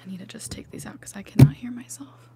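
Young woman whispering asmr, soft repeated words, chatty and close on the mic. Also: medium pitch, steady tone, quick pace, gravelly. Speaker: ASMR livestream host. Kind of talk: whispered ASMR live chat. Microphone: ASMR microphone.

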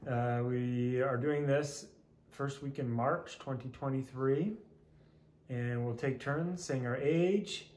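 A man in his forties leading his family through a home video, upbeat and teasing as he quizzes each person, recorded on a phone at arm's length in a living room. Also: medium pitch. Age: 40s. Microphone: phone camera held at arm's length.

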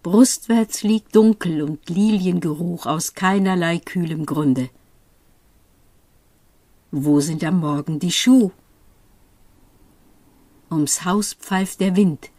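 Slow, mellow woman reading poetry. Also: fairly low pitch, no echo. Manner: measured, deliberate phrasing with pauses. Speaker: poetry reader. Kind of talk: expressive literary poetry reading.

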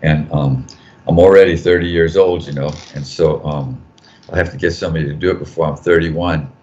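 A man's voice: extremely low pitch, fairly even intonation, a little fast, darkish tone. An older man, a journal editor, talking casually on a video call panel, rambling and correcting himself as he goes. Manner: rambling, self-correcting, joking. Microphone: video call mic. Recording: dull lo-fi video call audio.

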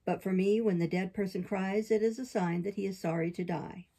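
Woman acting an owl doctor, formal, courteous, pompous, mellow, husky, storybook. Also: medium pitch, fairly even intonation, fairly quick. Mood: politely pompous.

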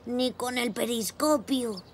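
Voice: medium pitch, slow pace, creaky, a little hiss or hum. A performer playing a curious, eager five-year-old boy zebra in a children's cartoon, with a wide-eyed manner. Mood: wondering.

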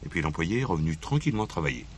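An actor playing a dogged, streetwise police inspector in a French radio play, insistent, deferential yet cheeky. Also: male voice, deep voice, sing-song, racing. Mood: confiding, determined. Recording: noisy archive drama recording, vehicle ambience.

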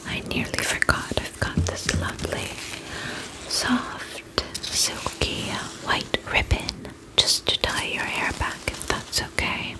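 A woman whispering breathily in a soothing, attentive ASMR roleplay as a gentle vampire spa attendant. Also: slow pace, rough recording.

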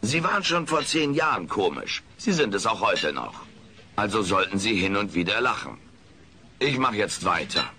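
An actor playing a wisecracking, vain, washed-up alien comedian in a comic radio play, with a sarcastic, self-important manner. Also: male voice, lowish voice, sing-song, normal speed, sharp tone, a little hiss or hum, full character voice. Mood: cocky, irritated.

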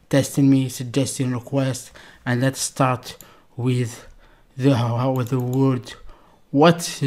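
A man's voice: mid-range voice, a little mellow. Effusive Algerian man reacting, repeating himself. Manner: effusive, repeats words and phrases, non-fluent English. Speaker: reaction video host. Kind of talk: casual reaction commentary.

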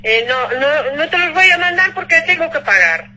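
A woman's voice: high-pitched, very animated, moderate pace, bright, forceful tone. A woman in a casual phone conversation over speakerphone, plain-spoken and repeating herself. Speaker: pet owner receiving a call. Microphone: phone call played over speakerphone. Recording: noisy speakerphone call.

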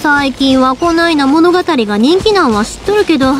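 An actress playing a cheerful young fireworks-shop owner with a Kansai accent, lively and chatty. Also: female voice, sits high, moderate pace, a little hiss or hum. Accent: Kansai Japanese. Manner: lively, chatty, warm teasing. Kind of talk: game voice acting. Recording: game audio with music behind.

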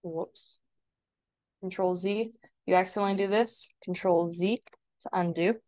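A woman instructor talking informally through a step-by-step software tutorial as a screen-recording voice-over. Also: on the low side, fairly even intonation, a little slow, low-quality recording.

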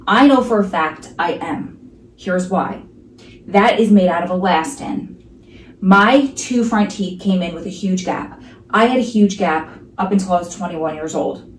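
A woman's voice: lowish voice, roomy sound, recorded from a distance, a little hiss or hum, rough recording. A woman in her thirties with a New Jersey accent, talking casually and emphatically to a camera in her living room. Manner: chatty, emphatic, many asides. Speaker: vlogger. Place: living room at home, sunlit window. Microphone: camera across the room.

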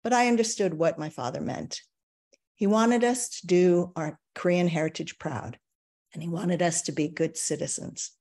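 An older American woman reads her personal essay aloud on a video call from a home study, measured and reflective, with emphatic turns. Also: lowish voice, a little mellow. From United States. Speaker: essayist reading her work.

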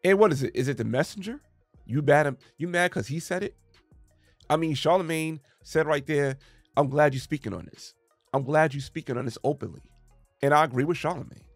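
A man's voice: fairly high pitch, brisk, dry recording, soft background music. A man in his thirties, getting over a cold, reacting to a clip from his small home studio with emphatic rhetorical questions. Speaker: commentary channel host. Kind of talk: reaction commentary. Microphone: studio mic on boom arm, headphones.

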